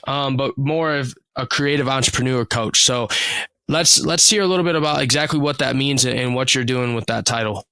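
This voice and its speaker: This man hosts a podcast over a video call. His voice is bright and forward, and the recording is dry and close. He talks loosely and casually, leaning on "like" and the occasional curse word. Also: medium pitch, brisk, no room sound, low-quality recording. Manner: casual and loose, with lots of "like" fillers and mild swearing. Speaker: podcast host.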